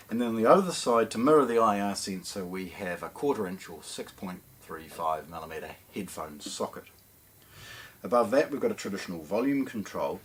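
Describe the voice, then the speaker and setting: Kiwi guy doing a product walkthrough, lowish husky voice, explains stuff carefully and repeats words for emphasis. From New Zealand. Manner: measured explaining with emphatic repetition. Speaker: hi-fi shop presenter.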